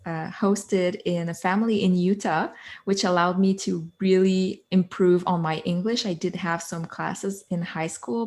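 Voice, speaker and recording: A woman's voice: fairly low pitch, darkish tone. A woman in her thirties from Quebec with a Quebec French accent, a native French speaker, earnestly telling her story as an interview guest. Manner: earnest, chains thoughts with "so" and "like". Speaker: guest, author and coach. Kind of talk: conversational interview.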